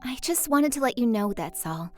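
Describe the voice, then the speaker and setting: A woman's voice: a little high, lively intonation, moderate pace, lightly acted. An actress playing a moody, lonely teenage girl in a visual novel, wry and confiding. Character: moody, lonely, teasing teenage girl, secretly soft-hearted.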